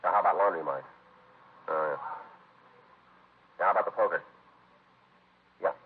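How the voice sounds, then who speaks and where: An actor playing a terse American police detective in a 1950s radio drama, narrating in a deadpan, clipped manner. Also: male voice, low-pitched, normal speed, breathy edge. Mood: businesslike. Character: terse, businesslike male police detective, narrating his case. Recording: tinny, noisy vintage radio recording.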